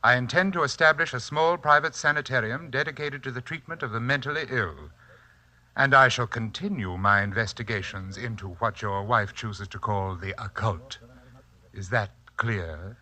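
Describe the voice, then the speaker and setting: A man's voice: mid-range voice, normal speed, bright, forceful tone. A man acting in a 1940s radio drama as a pompous, condescending and sinister self-styled psychic scientist, speaking in elaborately formal phrases. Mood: haughty, menacing.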